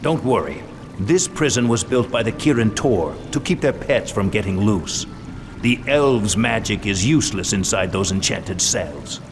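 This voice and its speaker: An actor playing a gruff, scornful human jailer in a fantasy game, gloating in a matter-of-fact way. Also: male voice, fairly low pitch, animated, a little slow, background noise. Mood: gloating, smug. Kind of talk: dramatic fantasy game voice acting.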